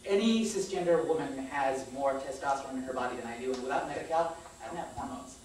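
A woman's voice: very deep voice, fast talker, darkish tone. Candid woman speaking in a very echoey theater. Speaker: spoken-word storyteller on stage. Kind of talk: personal monologue read aloud to an audience. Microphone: far camera microphone. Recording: echoey theater, distant mic, faint noise.

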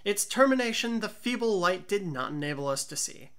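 A woman narrates a gothic tale as an audiobook reader. Her voice is bright and forceful, with lively swings of pitch and a deliberate, theatrical delivery. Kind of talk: dramatic gothic storybook narration.

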